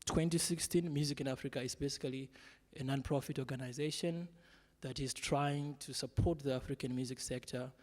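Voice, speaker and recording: A man from Zimbabwe giving a panel presentation, speaking in a measured way with frequent fillers. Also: medium pitch, steady tone. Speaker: guest speaker. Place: large exhibition hall. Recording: long hall reverb.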